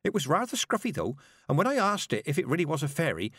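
A man's voice: on the high side, sing-song, fast, fairly bright tone, slightly rough, no echo, clean studio sound, voice pushed up, exaggerated voice. A man voicing a nervous, stammering young witch girl, full of little ums and flustered breaths.

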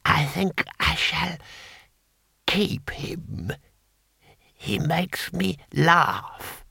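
A man's voice: on the high side, creaky, pushed and bright, breathy edge, no echo, studio quality, voice pushed up, heavily acted. A man voicing an ancient, gleefully cruel emperor in a thin, whispery old voice for an audiobook. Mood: curious, amused. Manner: slow, halting, drawn-out. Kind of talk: audiobook character voice.

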